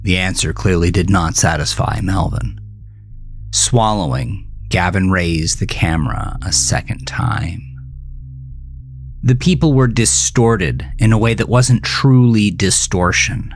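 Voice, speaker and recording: A man with a fairly low voice narrates a horror story very slowly and deliberately. His delivery is measured and dramatic, with music playing underneath.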